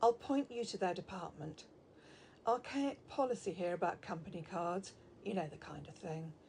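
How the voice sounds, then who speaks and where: A woman's voice: fairly low pitch, moderate pace, creaky, a little mellow, a little hiss or hum. A woman acting a breezy, motherly office receptionist in an audio drama, with a cosy sing-song manner. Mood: helpful, breezy. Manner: sing-song, cosy, calls people love.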